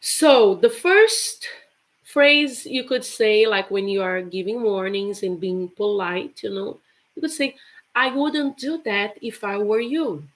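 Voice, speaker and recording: A woman's voice: mid-range voice, slow pace. Chatty woman teaching English. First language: Portuguese. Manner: chatty, repeats example phrases with laughs. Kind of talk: live online English lesson.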